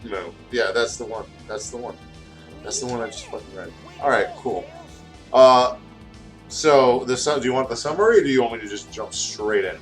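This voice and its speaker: A man chats casually and reads aloud in a loose, giggly way, with an ordinary mid-range pitch. Faint video game music plays behind him. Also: normal speed. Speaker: guest co-host reading fan fiction. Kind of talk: casual chat and reading aloud over a game.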